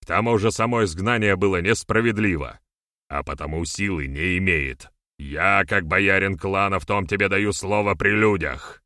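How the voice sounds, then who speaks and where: Guy doing an earnest clan elder in an audiobook, real low voice, admonishing, urgent, very dry sound. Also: normal speed, sharp tone, no room sound. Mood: alarmed, insistent. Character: earnest clan elder, a conciliatory man of authority.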